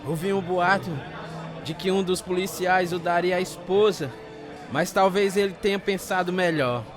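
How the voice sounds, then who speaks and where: A man's voice: sits high, normal speed, a crowd in the background, close to an everyday voice. A man acting as a volatile, embittered heavy drinker who tells his own story in a dramatised radio narration. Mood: sly, excited. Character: bitter, volatile hard-drinking husband telling his story. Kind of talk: dramatised first-person scripted narration. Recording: loud guitar music behind the voice.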